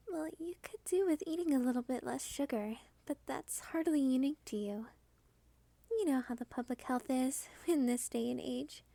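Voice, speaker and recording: A woman voicing a cute, dominant female vampire in an ASMR roleplay, soft-spoken, polite and teasing with quiet possessiveness. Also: high voice, creaky, no room sound.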